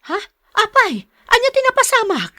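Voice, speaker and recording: A woman's voice: extremely high pitch, wildly swooping pitch, normal speed, sharp tone, exaggerated voice. A woman playing a caring, protective middle-aged mother and wife in an audio drama. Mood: alarmed, concerned.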